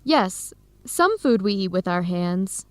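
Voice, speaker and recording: A woman playing a friendly young American researcher in a radio drama, with an American accent and a lively, expressive manner. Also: mid-range voice, takes it slowly, no room sound. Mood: warm, chatty. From United States.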